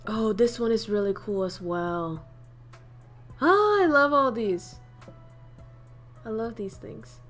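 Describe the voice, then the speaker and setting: A woman's voice: on the high side, lively intonation, takes it slowly, pauses often, a bit bright, faint music behind. Chatty Vietnamese-accented young woman streamer. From northern Vietnam. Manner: chatty, laughs often, reads chat aloud. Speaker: streamer teaching idioms. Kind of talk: casual livestream teaching.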